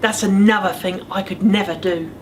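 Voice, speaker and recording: A man's voice: sits high, fairly even intonation, moderate pace, natural voice, rough recording. A man voicing a stubborn little male monster in a storybook, with emphatic, grumbling delivery. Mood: curious. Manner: roaring, grumbling, emphatic chanting. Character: small blue monster, male, stubborn and roaring. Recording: faint background noise.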